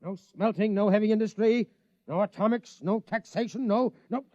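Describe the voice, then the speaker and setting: Actor as a high-pitched, blustering military inspector. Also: male voice, moderate pace, mellow, no echo, natural voice. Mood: exasperated, indignant. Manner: barking orders, blustering. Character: pompous, irritable military inspector of a colonial empire. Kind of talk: 1950s radio drama acting. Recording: dull, band-limited old radio recording.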